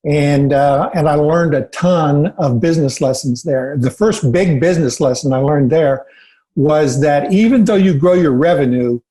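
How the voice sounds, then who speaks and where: A man in his sixties from Indiana, a podcast guest calmly recounting his career in an unhurried, self-assured way. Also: on the high side. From Indiana, USA. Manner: steady, self-assured anecdotes with filler ums. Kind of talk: relaxed storytelling interview.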